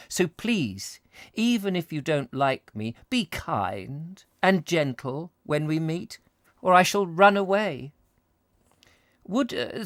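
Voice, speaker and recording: A man's voice: on the high side, no room sound, clean studio sound, voice pushed up. A man voicing a timid, pleading young woman from a romantic letter in a storybook, with fluttery, dramatic earnestness. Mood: shy, hopeful.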